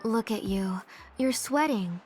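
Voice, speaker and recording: A woman playing a caring, gently fussing mother of a young child in a video game. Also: a little high, animated, takes it slowly. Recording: music under the voice.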